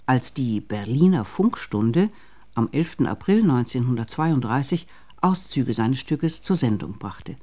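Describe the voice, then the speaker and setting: Male radio feature narrator, slightly high but mellow, scripted read.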